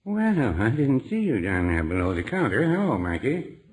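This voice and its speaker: A man's voice: mid-range voice, sing-song, moderate pace, darkish tone, natural voice, poor audio. A man playing a mild, kindly older shopkeeper in 1950s radio comedy, speaking in a hesitant, folksy way. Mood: mild, friendly. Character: mild, kindly older male drugstore keeper.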